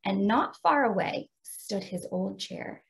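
A middle-aged woman with an American accent, explaining and reading aloud as a teacher of young children in an expressive way. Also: mid-range voice, takes it slowly, gravelly, poor audio. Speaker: teacher demonstrating a read-aloud.

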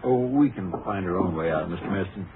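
An actor playing a confident, plain-spoken country sheriff with a folksy manner in a radio drama. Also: male voice, low-pitched, lively intonation, fairly quick. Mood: sure, easygoing. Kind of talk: 1950s radio drama acting. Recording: noisy, narrow-band 1950 radio recording.

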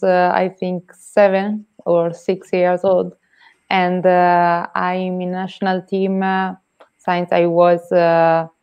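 A woman's voice: fairly low pitch, smooth, dull audio. A woman in her twenties from Italy with an Italian accent, answering questions in English hesitantly, with many fillers and shy laughs, on a video call through earphones. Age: 20s. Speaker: guest athlete. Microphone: earphone mic on video call.

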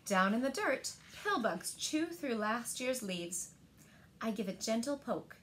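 A woman in her thirties reading a picture book aloud to children in a gentle, deliberate manner, pausing to ask them questions. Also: fairly high pitch, very animated, a little hiss or hum. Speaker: library storyteller. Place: indoors, small living room by windows.

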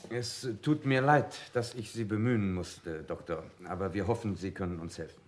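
An actor playing a brisk, sceptical police inspector in a German radio crime drama, blunt, formal and insistent. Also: male voice, sits low, a little hiss or hum, natural voice. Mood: formal, questioning.

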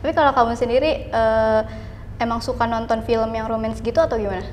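A woman's voice: on the high side, steady tone, quick pace, a little mellow, in a large hall, slight background noise. A young woman asking questions as a casual, informal interviewer. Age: young adult.

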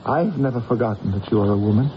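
An actor playing a wise, devoted ancient British army commander in love, speaking earnestly and lyrically in radio drama style. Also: male voice, medium pitch, quick pace, background noise, natural voice. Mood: ardent. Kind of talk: 1980s radio drama acting. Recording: muffled old radio broadcast, loud music behind.

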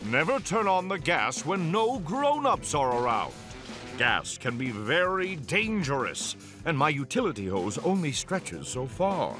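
A man's voice: a little high, big pitch swings, measured pace, fairly bright tone, faint music behind. A man playing a bombastic, cheerful, muscle-bound cartoon superhero who lectures children in a theatrical, goofy, emphatic way. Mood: earnest, goofy. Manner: theatrical, emphatic, goofy public-safety preaching. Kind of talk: children's cartoon safety message.

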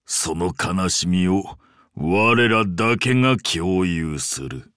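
A man voicing an ancient, imperious sea-god father in a Japanese game, speaking in a pompous, archaic and deliberate way with grand indulgence. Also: low voice, animated, a bit bright, no echo, clean studio sound, full character voice. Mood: solemn, musing. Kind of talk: Japanese game character voice acting.

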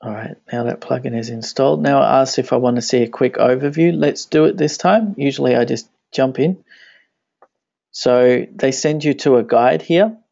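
A man, a native Danish speaker, walks through a website plugin in a relaxed screencast tutorial, thinking aloud as he clicks. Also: mid-range voice, moderate pace, vocal fry, no echo. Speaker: web tutorial instructor. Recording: dry, dull lo-fi screen recording.

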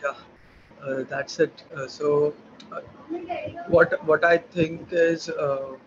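A man's voice: a little high, fairly even intonation, takes it slowly. A man introducing himself on a video call, speaking hesitantly with many fillers and repeated words. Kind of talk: casual video call chat. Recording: dull lo-fi call audio with noise.

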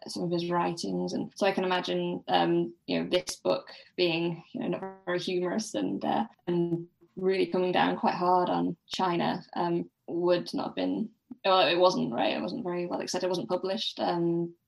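A woman's voice: fairly low pitch, normal speed, vocal fry, poor audio. A woman speaking as a podcast guest, discussing literature casually in a tentative, self-deprecating way.

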